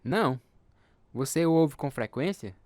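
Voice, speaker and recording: An actor playing a concerned, probing psychiatrist in a radio drama, with a clinical, questioning manner. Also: male voice, on the high side, lively intonation, measured pace, a little mellow, no echo.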